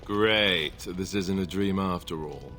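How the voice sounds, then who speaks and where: A man's voice: low-pitched, slow pace, vocal fry, sharp tone, soft background music, exaggerated voice. A man voicing a young warrior in a video game, wry and earnest, an awkward reluctant leader. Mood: reluctant, uneasy. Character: young male warrior, awkward, sardonic, reluctant heir turned rallying leader. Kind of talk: video game dialogue acting.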